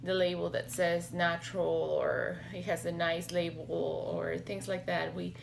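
Slow, gravelly-voiced woman vlogging at home. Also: fairly low pitch, little pitch movement, slight background noise. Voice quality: raspy. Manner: hesitant, many fillers and restarts. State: slightly hoarse from allergies. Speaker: health vlogger. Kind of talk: casual talking-to-camera vlog. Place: small home office with bookshelves, indoors. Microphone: webcam or laptop camera at desk.

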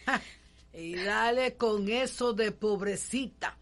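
A folksy enslaved Cuban nursemaid in a radio soap. She is a blunt, teasing woman who talks colloquially and takes her time over each phrase, at a middling female pitch. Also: slow pace. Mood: scolding, amused. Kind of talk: radio soap opera acting.

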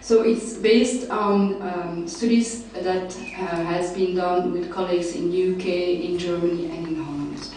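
A woman's voice: on the low side, steady tone, a little slow, few pauses, darkish tone, slight background noise. A young woman from Belgium presenting her research at a panel table, with many fillers and restarts. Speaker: researcher presenting at a summer school. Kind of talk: academic conference presentation. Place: large lecture hall, seated at a panel table. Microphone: table mic, recorded by a far camera. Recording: very echoey hall, voice far from the mic.

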